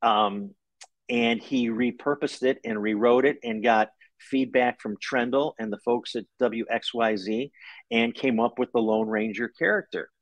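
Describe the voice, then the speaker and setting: An American man in his fifties speaks in a mid-pitched voice with very little room echo. He talks like an interview guest, recounting things in a conversational way. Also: steady tone, unhurried. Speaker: guest author. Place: living room at home, indoors. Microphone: video call.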